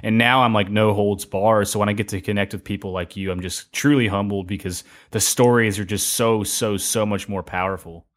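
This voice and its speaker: A man's voice: fairly low pitch, fairly even intonation, moderate pace, hardly any pauses. A man hosting a podcast in an exuberant, playful, informal way with plenty of slang. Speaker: podcast host. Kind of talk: upbeat podcast hosting and interview.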